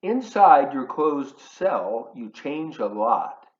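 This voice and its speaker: Male children's storyteller, playful and animated in intonation, addressing kids directly. Roomy, slightly dull home-video sound. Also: medium pitch, slow. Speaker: volunteer children's book reader. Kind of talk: read-aloud of a picture book for young children, with asides. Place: living room at home.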